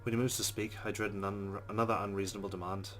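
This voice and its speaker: A man's voice: fairly low pitch, flat delivery, fast talker, fairly bright tone. A man reading a game's narration aloud, adding wry asides. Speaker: let's play host. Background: game music.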